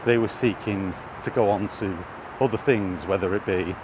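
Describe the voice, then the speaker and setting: Low-voiced British man outdoors, traffic noise. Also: moderate pace. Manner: hesitant, thinking aloud. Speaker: vlogger. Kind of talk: rambling casual commentary. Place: outdoors while walking in an urban area. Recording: noisy outdoor recording with traffic. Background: clear street and vehicle noise.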